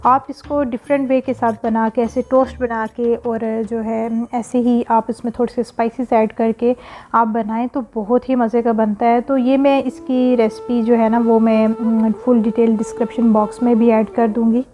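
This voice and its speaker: A woman's voice: a little high, level tone, normal speed, dull audio. A woman, hosting her cooking vlog in a casual, rambling voice-over full of filler phrases and blessings. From Pakistan. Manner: warm religious blessings and greetings. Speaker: cooking and family vlogger.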